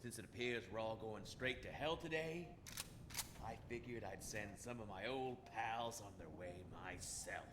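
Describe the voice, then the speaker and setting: An actor playing a vengeful, unhinged fired police marshal, folksy, sardonic and menacing, in a game audio drama. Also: male voice, fairly low pitch, normal speed, close to an everyday voice. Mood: mocking, folksy. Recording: noisy ambience behind the voice.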